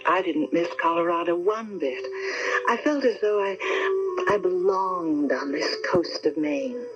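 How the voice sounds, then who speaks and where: An expressive, anxious radio-play wife. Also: female voice, on the low side, a little slow. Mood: wistful. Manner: dramatic, hesitant first-person storytelling. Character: anxious, devoted wife telling her ghostly tale. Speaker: actress. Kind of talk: 1970s radio drama acting. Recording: dull 1979 AM radio broadcast, music.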